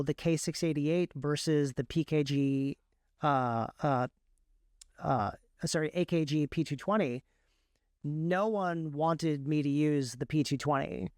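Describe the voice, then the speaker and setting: A man's voice: on the high side, no room sound, studio quality. A man who works as an audiobook narrator, chatting casually and technically as a podcast guest in a rambling, enthusiastic way.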